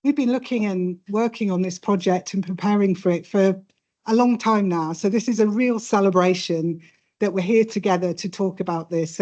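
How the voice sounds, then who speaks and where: Brief: woman project lead, mid-pitched, emphatic welcome talk, muffled room sound. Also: a little mellow. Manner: earnest, emphatic and pleading. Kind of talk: welcoming address to an audience. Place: event room without mic.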